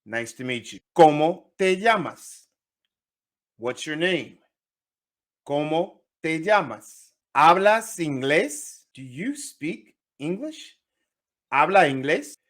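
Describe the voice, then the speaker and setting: A young man leads a language lesson. His voice rises and falls a lot, sits a little above the usual male pitch, and takes its time. He switches back and forth between two languages as he repeats each phrase. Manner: animated, repeats phrases slowly, jumps between Spanish and English. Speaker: Spanish teacher.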